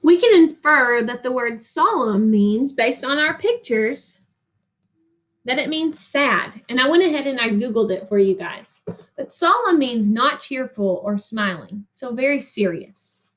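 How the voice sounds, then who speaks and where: High-voiced woman reading to children. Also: expressive, fairly bright tone. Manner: addresses young listeners, pauses for them to answer. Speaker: teacher reading a picture book aloud. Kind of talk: expressive children's read-aloud with teaching asides.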